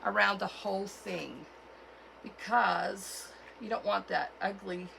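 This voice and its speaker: A woman with a cold and a froggy, hoarse voice, chatting casually as she walks through a craft tutorial at home. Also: medium pitch, pushed and bright, a little hiss or hum, low-quality recording. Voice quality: froggy, gravelly. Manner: chatty, unhurried, with fillers and self-corrections. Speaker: craft channel host.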